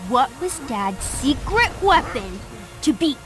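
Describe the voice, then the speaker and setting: An earnest young girl speaks slowly in a high, very expressive voice. Her delivery is hesitant and questioning, as in a story-driven game cutscene. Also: exaggerated voice. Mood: curious, surprised. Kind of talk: cinematic game cutscene dialogue. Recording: music behind the voice.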